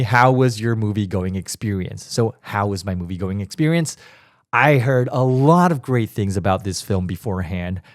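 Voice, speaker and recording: A man hosting a casual solo podcast, talking in a chatty, animated way, his voice raspy from a cold. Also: medium pitch, no room sound, clean studio sound. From Taiwan. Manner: chatty, animated, emphatic asides. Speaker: podcast host.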